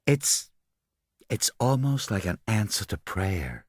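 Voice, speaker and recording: A man voicing a vain, self-absorbed glamorous actress in an audiobook, with a husky, breathy, gushing drawl. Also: fairly low pitch, very animated, takes it slowly, no room sound, studio quality, heavily acted. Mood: awed, rapturous. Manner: gushing, childlike, languid drawl.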